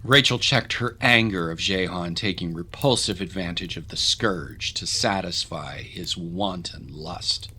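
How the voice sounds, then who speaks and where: A man narrating a scripted dramatic story in a measured, solemn, literary way. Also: deep voice, pushed and bright, slightly rough.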